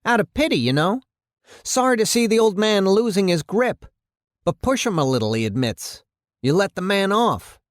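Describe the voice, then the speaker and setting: A male narrator plays an angry city mayor in an audiobook drama. He blusters and hectors, his voice pushed up high and insistent. Every phrase lands with indignant emphasis, in a close, dry recording. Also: big pitch swings, moderate pace, dry recording. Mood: accusing, exasperated.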